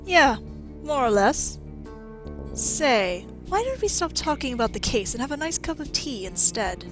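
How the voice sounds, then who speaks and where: A woman's voice: high-pitched, very animated, unhurried, music in the background. A woman playing a haughty, sardonic robotics scientist who taunts slyly in a video game fandub. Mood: sly teasing.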